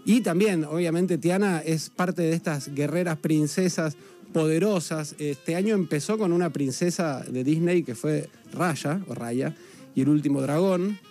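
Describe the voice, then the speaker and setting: A man's voice: a little high, measured pace, warm tone, faint music behind. A man with a Rioplatense Argentine accent, speaking as an entertainment columnist in a casual radio chat, chatty and informative with many filler sounds. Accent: Rioplatense Spanish.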